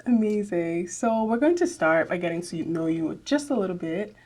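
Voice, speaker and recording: A woman's voice: on the low side, lively intonation, moderate pace, a little mellow. A young adult woman hosting a podcast, upbeat and encouraging with her guest. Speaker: podcast host. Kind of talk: podcast interview.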